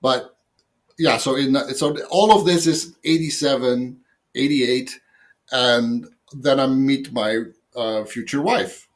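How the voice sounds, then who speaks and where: A man's voice: mid-range voice, slow. An older man from the Netherlands with a Dutch accent, a guest telling long rambling stories about his past jobs with lots of fillers and self-mocking asides. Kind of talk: casual interview storytelling.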